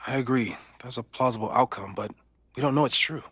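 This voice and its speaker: A man's voice: medium pitch, fairly quick, sharp tone, husky, no room sound. Precise, analytical man. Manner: precise, reasoned, formal. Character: calm, cautious, analytical scientist-minded man, loyal friend. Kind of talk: naturalistic video game drama acting.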